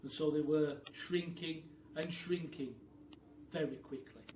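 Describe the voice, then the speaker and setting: A man with a British accent telling a story to children like a primary school teacher, expressive and addressing them directly. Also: fairly high pitch, a little slow. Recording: echoey room, distant mic, faint noise.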